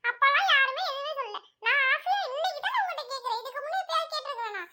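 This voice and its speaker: A woman's voice: very high voice, animated, rapid-fire, bright, forceful tone, faint music behind, heavily acted. A woman voicing a sulky young girl who feels left out, whining and pleading. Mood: pleading, gleeful. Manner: whiny, pleading.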